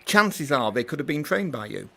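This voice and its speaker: A man's voice: mid-range voice, big pitch swings, normal speed, gravelly, sharp tone. A man with a British accent interviewing in a conversational style on the radio.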